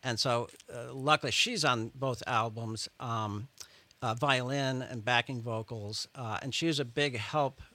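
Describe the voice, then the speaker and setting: A middle-aged man, a musician, chatting as a guest in a radio studio, relaxed and rambling with chuckles. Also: mid-range voice, unhurried, no echo. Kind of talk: casual radio interview chat.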